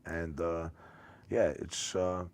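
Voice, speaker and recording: A man's voice: deep voice, level tone, slow. A middle-aged man from Greece speaking casually as a talk show guest, jokey and full of "you know". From born in Greece, came via London to the United States. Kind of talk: casual interview chat.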